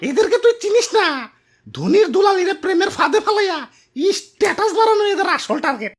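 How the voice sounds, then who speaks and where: A man's voice: extremely high pitch, sing-song, fast, faint music behind, heavily acted. A man playing a haughty, scornful rich orange fruit father in a comic cartoon, with a Bangladeshi accent and a pompous manner. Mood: scornful outrage. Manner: pompous, scolding, sneering. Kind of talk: exaggerated comic cartoon acting.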